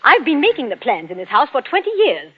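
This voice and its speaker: An actress playing a bossy, scolding middle-aged wife in a curt, nagging manner, in old-time radio drama style. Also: female voice, high-pitched, wildly swooping pitch, a little fast, breathy edge, exaggerated voice. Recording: dull vintage radio drama recording.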